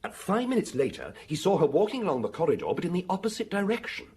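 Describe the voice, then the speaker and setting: A man playing an eager young police inspector in a radio drama, raspy-voiced, keen and a little hesitant as he reports. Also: high voice, wildly swooping pitch, quick pace, darkish tone, exaggerated voice. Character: eager, chirpy, health-conscious junior male police inspector.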